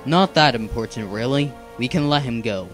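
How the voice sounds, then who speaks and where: Male actor as dutiful assassin in a dark fantasy cartoon: measured, formal, firm, mid-pitched, over background music. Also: animated, close to an everyday voice. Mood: reasoning. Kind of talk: amateur animated fantasy series acting.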